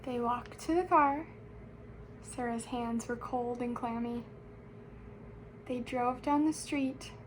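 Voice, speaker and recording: A woman's voice: fairly high pitch, fairly even intonation, slow pace, pauses often, background noise. A woman teacher reading a storybook aloud expressively, speaking directly to young listeners. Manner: addresses young listeners with questions.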